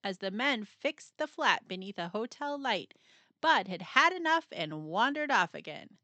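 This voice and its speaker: A woman reads a children's story aloud with a dramatic, expressive delivery. Her voice is bright and somewhat high, swinging widely in pitch. She uses suspenseful pauses, and the recording is dry and close. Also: dry recording, dull audio. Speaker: storybook narrator. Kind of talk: scripted children's read-aloud narration.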